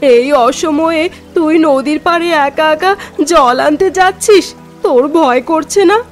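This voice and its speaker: A woman voicing a hurried, gossiping village neighbor in a cartoon, brisk and dismissive. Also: sits high, soft background music.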